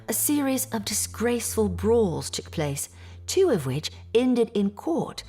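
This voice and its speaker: An actress playing a troubled young Victorian gentlewoman, telling her story formally in an audio drama. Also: fairly high pitch, big pitch swings, slow, breathy edge, soft background music, full character voice. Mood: troubled, sighing. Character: distressed Victorian English gentlewoman recounting family misfortune. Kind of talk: period audio drama acting, narrative monologue.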